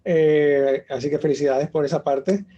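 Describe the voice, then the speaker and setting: A woman's voice: low voice, fairly even intonation, normal speed, dark tone, slightly rough, highs cut off. A woman hosting an interview, hesitant and repeating words as she asks questions over a video call. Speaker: host.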